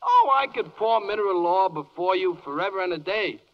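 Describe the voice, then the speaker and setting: A man's voice: high-pitched, expressive, very noisy. A man playing a zealous spokesman declaiming rhymed patter in a 1940s radio play. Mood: eager, declamatory. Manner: declamatory, rapid-fire patter. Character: zealous, rhyming salesman-like spokesman for minerals. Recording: muffled 1940s radio broadcast.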